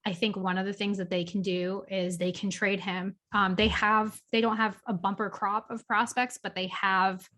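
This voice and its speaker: A woman's voice: medium pitch, little pitch movement, moderate pace, hardly any pauses, bright, forceful tone. A woman co-hosting a podcast, talking sports in a casual, opinionated way with plenty of filler words. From Boston area. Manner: chatty, opinionated, lots of "like" and "um". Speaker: podcast co-host.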